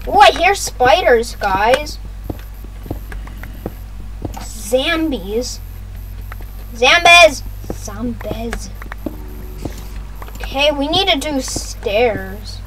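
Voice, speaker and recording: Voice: sits high, expressive, extremely slow, pauses often, sharp tone, slight background noise, low-quality recording. A gaming video host giving casual play-by-play, repeating phrases and talking to viewers. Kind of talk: casual gameplay commentary.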